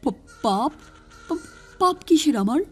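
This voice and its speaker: Woman playing a loud, flustered village postmaster. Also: high-pitched, animated, measured pace, soft background music, pitched above the performer's own voice. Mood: terrified. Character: frightened village postmaster man, irritable boss.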